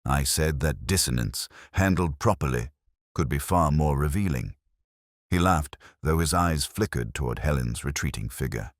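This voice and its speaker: A man reading a first-person mystery story as its narrator, in a measured, reflective, unhurried way. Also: extremely low pitch, expressive, dry recording, clean studio sound.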